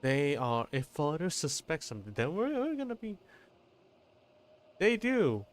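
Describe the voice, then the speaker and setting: A man's voice: a little high, huge pitch swings, measured pace. A man streaming a game, reacting casually with profane, rambling, stop-start comments. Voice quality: rambling, profane, stammering restarts.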